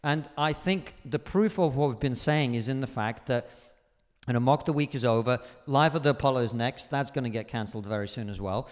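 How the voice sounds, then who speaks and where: A comedian guest speaking in a live stage conversation, forthright and emphatic and swearing freely. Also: male voice, mid-range voice, a little fast, long reverb tail. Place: live venue stage before an audience.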